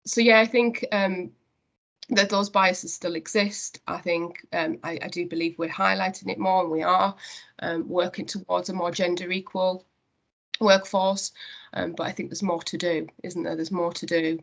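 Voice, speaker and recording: A woman's voice: on the low side, steady tone, moderate pace, creaky, dull audio. A woman with a Northeast English accent speaking earnestly in a conversational interview as a company director. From Northeast England.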